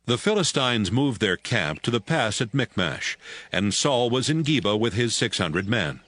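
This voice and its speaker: A man narrating scripture from a script in a measured storytelling manner. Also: medium pitch, very animated, fairly bright tone, no room sound.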